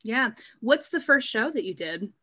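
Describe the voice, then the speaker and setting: A woman's voice: fairly high pitch, lively intonation, moderate pace, pushed and bright. A woman hosting a podcast, introducing her guest and asking questions in an effusive, welcoming way. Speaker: podcast host. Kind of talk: podcast interview.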